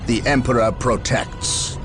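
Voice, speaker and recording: An actor playing a noble, zealous space marine commander in a video game, solemn and declamatory. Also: male voice, medium pitch, steady tone, unhurried, natural voice. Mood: proud, resolute. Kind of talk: heroic video game acting. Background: loud music.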